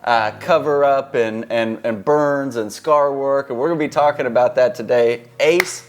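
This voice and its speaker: A man's voice: medium pitch, normal speed. A man hosting an interview podcast, friendly and affirming, chiming in with short agreements. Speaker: podcast host.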